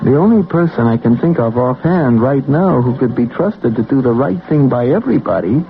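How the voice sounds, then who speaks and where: An actor playing a tired, anxious working-class cab driver with big ambitions in a vintage radio drama. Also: male voice, mid-range voice, animated, normal speed, creaky, a little hiss or hum. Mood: earnest, hesitant. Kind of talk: 1970s radio drama acting. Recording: muffled lo-fi old radio broadcast, music.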